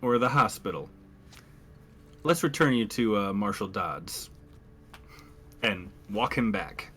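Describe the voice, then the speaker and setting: A man's voice: lowish voice, animated, takes it slowly, a bit bright, breathy edge, soft background music. A man playing a tabletop roleplaying game, speaking in character and describing actions in a terse, dry way.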